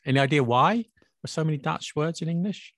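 A man's voice: fairly high pitch, animated, fairly quick, a bit bright, dry recording. Male host, chatty teacher type, dry close mic. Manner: chatty, explanatory, laughs often. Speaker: podcast host and English teacher. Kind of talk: casual chat. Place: Paris.

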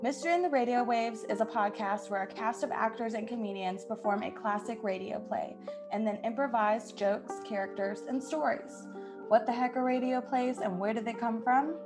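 A woman's voice: on the high side, fairly even intonation, moderate pace, music in the background. A woman hosting a podcast, reading a scripted introduction. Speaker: podcast host.

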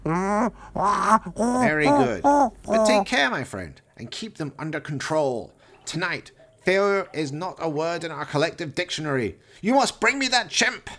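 Pompous, swooping mad scientist. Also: male voice, sits high, animated, a little slow, a bit bright, heavily acted. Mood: commanding, excited. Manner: pompous, florid, grandiose declamation. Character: pompous, vain mad scientist villain, theatrical and scheming. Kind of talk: radio play acting.

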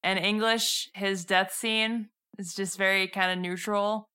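A woman joins a casual chat with a flat, matter-of-fact delivery. Her voice is very clear and bright, with little pitch movement. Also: unhurried.

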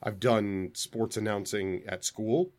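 Man, podcast co-host, keen casual talk, lowish voice, stumbles on restarts. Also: steady tone, takes it slowly, a little mellow. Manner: enthusiastic, repeats words when starting. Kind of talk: casual gaming chat.

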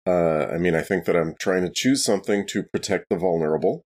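A man's voice: sits low, a little fast, darkish tone. A man playing a tabletop game, talking in an easygoing, matter-of-fact way. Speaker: player. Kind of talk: casual tabletop game chat.

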